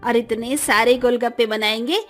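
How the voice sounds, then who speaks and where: A woman voicing excitable, bossy women of a family in a cartoon comedy, emphatic and exclaiming. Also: fairly high pitch, normal speed, faint music behind, close to an everyday voice. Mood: excited. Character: bossy, excitable mother-in-law and daughter-in-law obsessed with street snacks.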